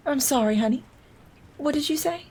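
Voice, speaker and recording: A woman's voice: high-pitched, moderate pace, darkish tone. A woman playing a loving mother in an audio drama, speaking in a reflective, sentimental way. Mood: gentle, motherly. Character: loving, wistful mother recalling camping with her daughter. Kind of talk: audio drama narration and dialogue.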